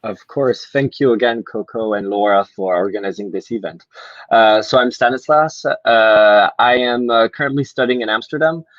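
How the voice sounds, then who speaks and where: A young man introducing himself on an online panel, halting with many restarts. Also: lowish voice, a bit bright, no room sound, dull audio.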